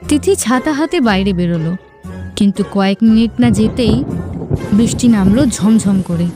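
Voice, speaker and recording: Female narrator, mid-range pitch, scripted romance storytelling, music bed. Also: expressive, moderate pace.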